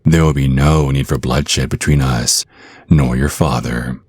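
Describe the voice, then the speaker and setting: Man voicing a very deep, menacing vampire naga. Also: steady tone, darkish tone, slightly rough, no room sound, clean studio sound, full character voice. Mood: smug menace. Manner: slow, coaxing, mock-polite menace. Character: menacing, smooth-talking vampire naga brother, male kidnapper. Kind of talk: ASMR roleplay acting.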